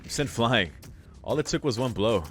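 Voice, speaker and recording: A man's voice: medium pitch, expressive, normal speed, fairly bright tone, faint music behind. A man reading visual novel narration aloud as a let's play host, with casual asides.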